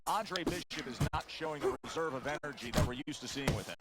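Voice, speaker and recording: A man playing an excitable boxing play-by-play announcer in a video game. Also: mid-range voice, fast, very noisy, close to an everyday voice. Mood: focused, urgent.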